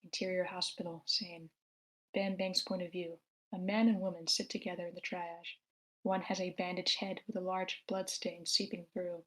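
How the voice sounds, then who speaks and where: A woman's voice: lowish voice, flat delivery, moderate pace, a bit bright, poor audio. A woman reading stage directions as the narrator of a screenplay table read. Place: online meeting, own room.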